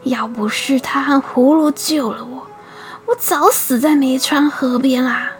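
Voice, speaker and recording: A woman voicing a tearful older widow, talking in a breathy half-whisper. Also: high-pitched, unhurried, faint music behind. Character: tearful older rich widow in beggar's rags.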